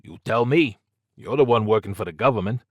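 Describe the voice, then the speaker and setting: A man's voice: fairly low pitch, expressive, a little fast, no echo, dull audio, voice pushed up. A man voicing a nervous, evasive small-time crook with slangy, flippant bravado in an audiobook. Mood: evasive, defensive.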